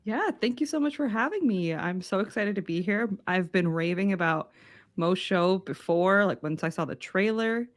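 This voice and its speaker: A woman's voice: medium pitch, expressive, normal speed, dry recording. A woman from Houston, a guest on a podcast, talking eagerly and telling stories. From Houston, Texas. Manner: enthusiastic, storytelling. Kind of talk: casual podcast chat.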